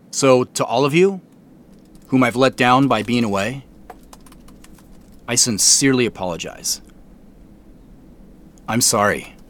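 An earnest middle-aged chief executive in an audio drama. His mid-pitched voice moves expressively as he gives a measured, formal address. The delivery is contrite and naturalistic, with deliberate pauses. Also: fairly bright tone. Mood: contrite, resolute. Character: earnest, contrite male company founder and CEO addressing staff. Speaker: company founder and CEO.

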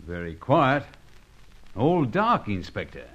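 An actor playing a stolid, deferential police subordinate in an old radio serial, making terse, grim observations. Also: male voice, mid-range voice, huge pitch swings, takes it slowly, dull audio, slight background noise. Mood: grim, hushed.

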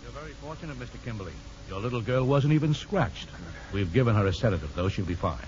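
An actor playing a courteous, reassuring hospital doctor in 1950s American radio drama, polite and professional. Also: male voice, medium pitch, expressive, quick pace, a little mellow, slight background noise, close to an everyday voice. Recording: dull old radio recording.

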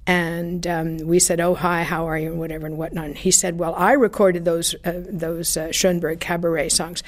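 A woman's voice: on the low side, level tone, moderate pace. Older woman telling radio anecdotes. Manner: chatty anecdotal storytelling with laughs and fillers. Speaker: former radio broadcaster interviewee. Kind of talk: reminiscing interview.

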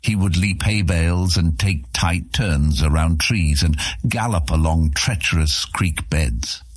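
A man's voice: low-pitched, unhurried, breathy edge, no echo, studio quality. A man narrating an epic fantasy adventure serial as a scripted audiobook.

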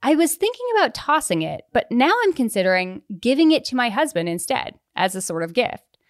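A woman's voice: on the high side, big pitch swings, fairly quick, no room sound, clean studio sound. A woman hosting an advice podcast, chatting casually and reading out listener questions. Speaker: podcast host.

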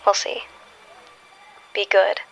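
An actress playing a rattled, guarded superhero in an audio drama, wry and understated. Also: female voice, takes it slowly, fairly bright tone, highs cut off. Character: rattled, guarded young female superhero questioning her orders.

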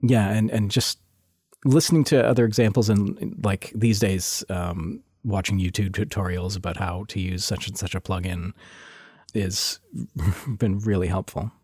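A man talking as a sound designer guest in a casual interview, relaxed and laughing often, restarting his sentences. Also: low-pitched, measured pace, slightly rough, no room sound, studio quality. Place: lives in Toronto.

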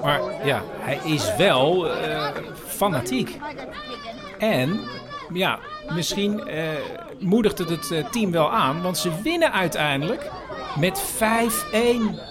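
A man's voice: high voice, animated, slow. A man narrating an audio report as podcast host, in a wry, hesitant storytelling way. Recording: outdoor crowd and music behind. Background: football crowd, music.

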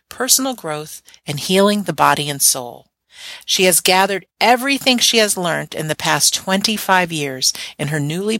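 Casting: female interview show host, low pitch, gravelly edge, dry close sound. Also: a bit bright, no room sound. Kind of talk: interview show hosting with scripted intro.

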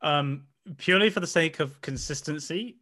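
A man's voice: a little high, moderate pace, sharp tone. A man explaining science casually on an online call, digressing and reaching for analogies.

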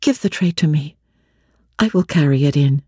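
A woman voicing a composed, devoted young heroine of a classic novel, gentle yet firm. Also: low-pitched, lively intonation, moderate pace, slightly rough, dry recording, clean studio sound, pitched below the performer's own voice. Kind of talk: dramatic audiobook reading of classic literature.

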